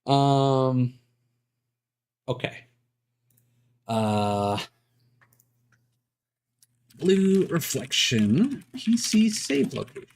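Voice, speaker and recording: Man live streaming, slow with swooping pitch. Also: big pitch swings, a little mellow. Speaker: video game live streamer. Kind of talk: casual live stream chat, thinking aloud while troubleshooting.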